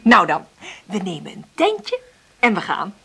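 An actress playing an insecure, tender single mother in a radio play, hesitant and thinking aloud in a breathy voice. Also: medium pitch, wildly swooping pitch, a little slow, pushed and bright, full character voice. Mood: tender, evasive. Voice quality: breathy, often half-whispered. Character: insecure, struggling divorced mother, tender and nervous. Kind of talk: naturalistic radio play acting with intimate monologue.

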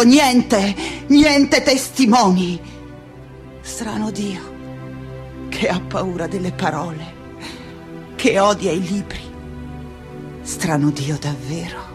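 An actress playing a reflective, passionate woman scholar in a lyrical theatrical monologue, with deliberate dramatic pauses. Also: on the high side, natural voice. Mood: restless, determined, then questioning, troubled. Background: music.

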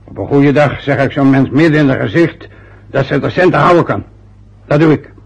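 A man's voice: medium pitch, lively intonation, brisk. An actor as a grumpy sarcastic father. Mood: gruff. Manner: gruff, sarcastic, resentful. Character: grumpy bitter middle-aged father. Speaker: father, church sexton. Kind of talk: radio play acting. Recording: dull old radio play recording.

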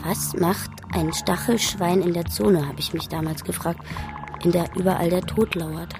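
A pensive, innocent female figure, played by an actress, muses in a radio drama monologue. Her voice sits fairly low and moves in short, halting phrases, with music playing behind it. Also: steady tone, lightly acted. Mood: pensive, wistful.

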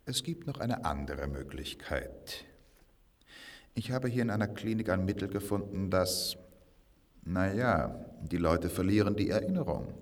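Very deep, gravelly grave doctor. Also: male voice, full character voice. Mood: hesitant, grave. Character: somber older male doctor, a survivor in hiding. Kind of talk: German radio play acting. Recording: long reverberant hall.

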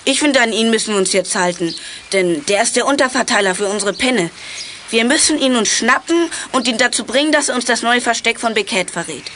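A woman playing a bold, impatient teenage detective in a children's radio play, brisk and eager. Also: on the high side, lively intonation, fairly bright tone, a little hiss or hum. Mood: determined. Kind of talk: 1980s German children's radio play acting.

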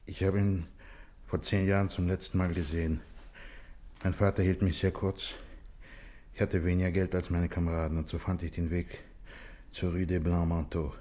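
An actor playing a respectable married man cornered by a murder accusation in a 1960s radio play, halting and emotionally strained. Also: deep voice, level tone, mellow. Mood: resigned.

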